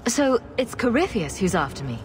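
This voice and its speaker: Lively, sardonic fantasy heroine. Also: female voice, fairly high pitch, normal speed, faint music behind. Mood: puzzled. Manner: sarcastic, questioning. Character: sardonic young heroine, bold adventurer. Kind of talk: dramatic fantasy game acting.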